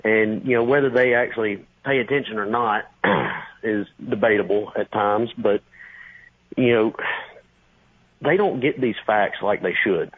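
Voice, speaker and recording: A man's voice: fairly low pitch, measured pace, husky, no echo. A man in his twenties calling in to a radio show, a teacher talking casually with lots of fillers. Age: 20s. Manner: casual, rambling, many fillers. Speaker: caller, high school teacher. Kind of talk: phone-in conversation. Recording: tinny phone line.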